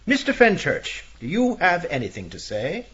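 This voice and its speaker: A man playing a jovial, dry police inspector in a radio mystery, with a husky voice. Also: on the high side, huge pitch swings, moderate pace, fairly bright tone, dull audio, heavily acted. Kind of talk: radio mystery drama acting.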